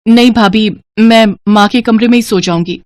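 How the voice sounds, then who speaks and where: A woman's voice: fairly high pitch, normal speed, no echo, close to an everyday voice. An earnest grown daughter from a cartoon family drama, voiced by a woman narrator. She is wistful and sentimental. The sound is dry and close. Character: sentimental grown daughter and mother visiting her childhood home.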